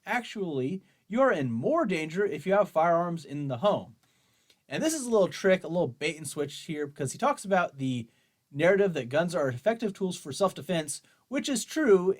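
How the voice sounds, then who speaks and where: A man hosting an opinion show, reading aloud and reacting in an argumentative, mocking way. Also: a little high. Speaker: host of a commentary show on guns.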